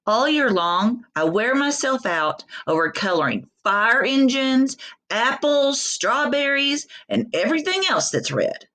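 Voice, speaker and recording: A woman's voice: a little high, big pitch swings, a little slow, sharp tone, pitched above the performer's own voice, full character voice. A woman voicing a weary, overworked storybook crayon who lists grievances emphatically. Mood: weary, aggrieved.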